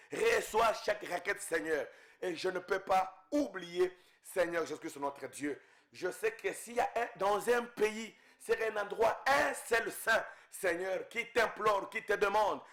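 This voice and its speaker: A man from Congo prays with fervour, leading the faithful as a preacher. His fairly high voice swells on each request, then drops low and quiet on the repeated invocations. The rhythm of his calls rises and falls in waves. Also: a little slow. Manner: fervent, repeating invocations, voice rising and falling in waves. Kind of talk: intense spoken prayer.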